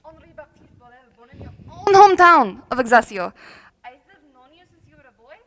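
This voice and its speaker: A woman acting a blustery, proud, rough male fisherman in a radio play. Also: high voice, big pitch swings, fairly quick, a bit bright. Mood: affronted. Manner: blustery, exclamatory.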